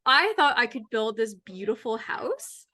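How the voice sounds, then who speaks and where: A young adult woman hosting a podcast in casual, upbeat chat, quick to laugh. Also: a little high, very animated, bright, forceful tone. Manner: chatty, upbeat, laughs easily. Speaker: podcast host.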